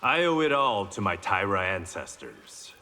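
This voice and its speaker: An actor playing a tough, brash reformed criminal man turned agent in a video game, with a rough voice. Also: deep voice, very animated, measured pace, sharp tone, heavily acted. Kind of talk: video game character dialogue. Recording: long hall reverb.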